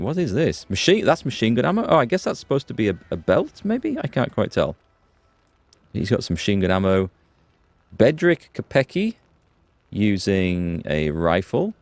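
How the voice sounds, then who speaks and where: A man's low, relaxed voice talks through a game as he plays, thinking aloud and greeting his viewers. The sound is close and dry, with no room echo. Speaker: gaming streamer. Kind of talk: live let's play commentary.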